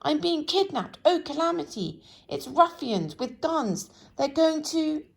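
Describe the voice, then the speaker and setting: A woman's voice: completely flat delivery, drawn-out words, vocal fry, warm tone, full character voice. A woman voicing a timid, jittery little man in a children's storybook who shrieks and stammers when frightened. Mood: terrified. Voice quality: shrieking, high and squeaky. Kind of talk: storybook read-aloud.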